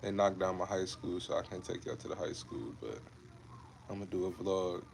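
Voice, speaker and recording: A man's voice: low-pitched, flat delivery, moderate pace, creaky, slight background noise. A man in his twenties from Ohio, chatting casually with viewers on a fitness livestream, laid-back and repetitive, calling them bro and man. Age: 20s. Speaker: fitness creator hosting a livestream.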